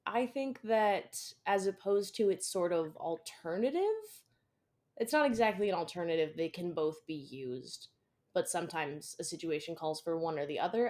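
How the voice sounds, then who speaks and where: A man's voice: high voice, darkish tone. A man co-hosting a podcast with his brothers, rambling loosely and swearing freely in mock indignation. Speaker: podcast co-host. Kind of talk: casual comedic podcast banter.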